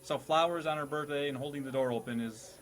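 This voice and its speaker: Mock-formal male game host, higher-pitched voice. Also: quick pace, fairly bright tone, low-quality recording. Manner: mock-formal, teacherly, jokey. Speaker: host playing teacher of a comedy panel game. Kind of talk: comedic classroom quiz hosting.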